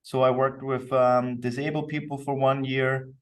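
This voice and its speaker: A man's voice: medium pitch, flat delivery, moderate pace. A man from Austria speaking as a podcast guest, explaining himself in an easygoing, reflective interview style. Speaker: podcast guest, company co-founder. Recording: distant microphone.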